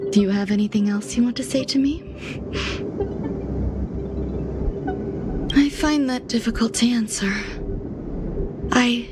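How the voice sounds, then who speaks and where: A woman playing an aloof, enigmatic genius scientist in a video game, speaking in a measured, formal, softly breathy way. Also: fairly high pitch. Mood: calm, wistful. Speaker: game character voice actor. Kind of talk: scripted game dialogue, slow reflective monologue.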